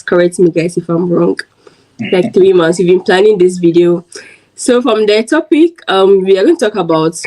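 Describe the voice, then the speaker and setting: A chatty woman from Ghana hosts a relaxed chat for her video channel. She keeps things loose and friendly, with plenty of "like" fillers as she moves the talk along. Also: lowish voice, rough recording. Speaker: host and interviewer.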